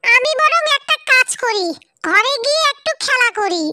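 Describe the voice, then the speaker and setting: A woman's voice: extremely high pitch, expressive, moderate pace, very noisy. A woman voicing a spoiled, mocking little boy in a cartoon fairy tale, taunting and demanding. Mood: bossy, demanding.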